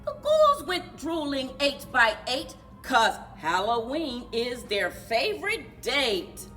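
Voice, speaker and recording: A woman reading a children's storybook aloud in an animated, rhythmic singsong for a library story time. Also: sits high, slow, faint music behind. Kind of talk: children's storybook read-aloud with chanted refrains.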